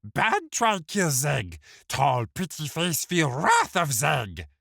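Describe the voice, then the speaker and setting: A man's voice: a little high, wildly swooping pitch, slow, gravelly, bright, forceful tone, dry recording, studio quality, pitched above the performer's own voice, heavily acted. A man voicing a tiny, spiteful red male imp who screeches in broken grammar, in a fantasy audiobook. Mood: angry.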